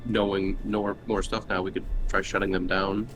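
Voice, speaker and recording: A man's voice: deep voice, flat delivery, normal speed, noisy background. A man talking casually as a player in a tabletop roleplaying game. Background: music.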